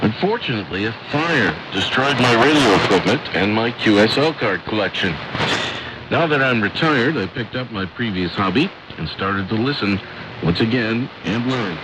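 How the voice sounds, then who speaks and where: An American man hosting a shortwave radio programme, reading listener letters and announcements with clear enunciation. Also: lowish voice, lively intonation, normal speed, background noise. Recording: shortwave radio broadcast with music.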